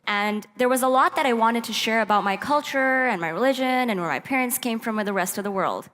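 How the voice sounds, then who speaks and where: A woman's voice: on the high side, fairly quick, fairly bright tone. A woman from Pakistan giving a prepared conference talk as a presenter on stage in a large hall. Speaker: presenter at a youth tech summit. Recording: echoey hall, long reverb.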